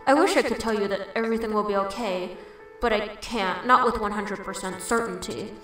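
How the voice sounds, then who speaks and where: A woman's voice: mid-range voice, fairly quick, a bit bright, natural voice. Girl reading as a caring fan asking stuff in a comic dub, tons of echo, bit of music behind. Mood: gentle, supportive. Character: sympathetic unseen fan asking the hero questions. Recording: heavy echo over background music.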